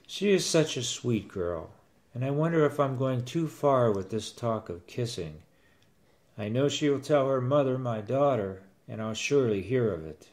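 A man's voice: medium pitch, expressive, moderate pace, darkish tone. A man in his sixties narrating a first-person story and acting out its dialogue as a grandfather.

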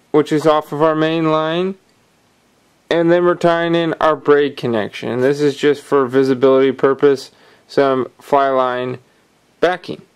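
Guy explaining fishing knots step by step, clear smooth voice, bit higher pitched. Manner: calm step-by-step explaining while tying. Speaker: fishing instructor. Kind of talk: hands-on knot-tying tutorial. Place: indoors at a wooden table.

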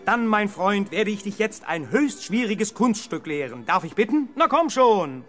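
An actor playing a showy, jovial fairground magician with theatrical patter in a children's radio play. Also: male voice, high-pitched, very animated, a little slow, a bit bright, faint music behind, heavily acted. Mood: jovial, coaxing. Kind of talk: 1970s German children's radio play acting.

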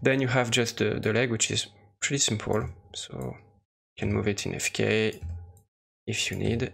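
Gravelly-voiced man giving a halting software tutorial. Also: fairly low pitch, fairly even intonation. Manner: hesitant, halting explanation. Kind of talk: unscripted screen-recorded tutorial narration.

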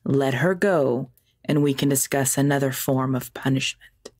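A woman playing a commanding, possessive vampire ruler and lover in an ASMR roleplay drama, speaking in a formal, imperious, measured way. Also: extremely low pitch, steady tone, darkish tone. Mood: commanding, menacing.

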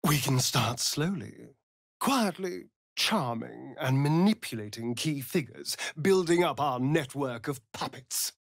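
A man's voice: mid-range voice, animated, unhurried, gravelly, no room sound, studio quality. A man playing a sardonic, vain vampire spawn in a fantasy video game, with an arch, theatrical drawl. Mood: sly, haughty.

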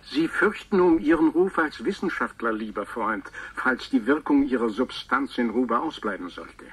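An actor playing a fervent priest on a taped voice letter in a radio play, solemn and beseeching, with a husky voice. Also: male voice, mid-range voice, animated, normal speed, a bit bright. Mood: solemn pleading. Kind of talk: German radio play acting.

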